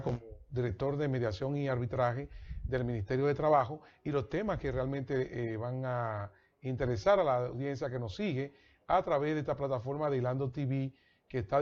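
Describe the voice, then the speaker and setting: A man's voice: mid-range voice, a little mellow, highs cut off. A man, hosting a TV interview, finishing his guest's sentences and leading into breaks in a formal announcer manner. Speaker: TV show host.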